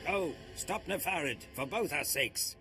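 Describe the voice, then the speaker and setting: A contemptuous schemer in a video game, with a lively, swinging pitch. He is cold-blooded, sardonic and clipped, with faint music behind. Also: unhurried, creaky, full character voice.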